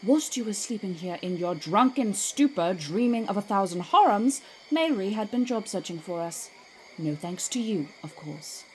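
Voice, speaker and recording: A woman's voice: medium pitch, expressive, normal speed, darkish tone, a little hiss or hum, lightly acted, low-quality recording. A woman playing a haughty, zealous drow priestess with formal, disdainful speech in a fantasy audio drama. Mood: haughty, mocking.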